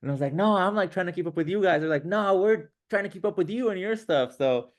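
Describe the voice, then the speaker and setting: A man's voice: high voice, lively intonation, quick pace. A man guest on a podcast, talking casually and enthusiastically, with many fillers. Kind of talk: casual interview chat.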